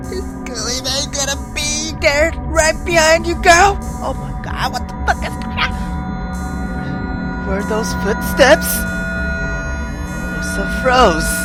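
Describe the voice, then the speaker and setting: A woman reading a visual novel aloud as a gamer, dramatic and expressive, breaking off into exclamatory, sweary asides. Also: on the high side. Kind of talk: dramatic reading with reaction commentary. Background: game music.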